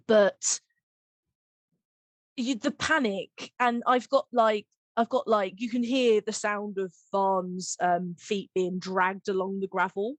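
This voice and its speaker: A woman podcast host chats casually about production work in a dry, close-sounding space. Her voice sits at a middle pitch, and her delivery is giggly, gushing and animated. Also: no echo. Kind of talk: casual behind-the-scenes chat.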